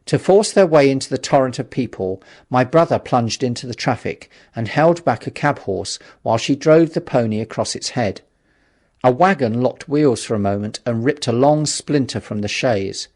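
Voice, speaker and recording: A male audiobook narrator with a mid-pitched voice reads a classic novel. His delivery is scripted, literary and measured, with a dramatic storytelling feel. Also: lively intonation, darkish tone.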